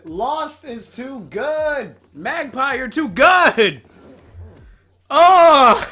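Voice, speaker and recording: A man hosting a casual reaction video, giving energetic, exclamatory fan commentary. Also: on the high side.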